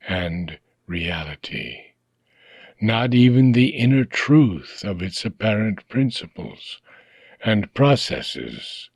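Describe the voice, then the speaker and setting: A man reading a philosophical book aloud for an audiobook, in slow, measured phrases that trail off at their ends. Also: on the low side, husky, no room sound.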